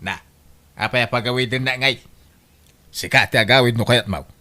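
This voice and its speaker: A man's voice: mid-range voice, normal speed, sharp tone, natural voice. A man playing a grumbling, competitive middle-aged youngest son in an Ilocano comedy radio drama. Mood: coaxing.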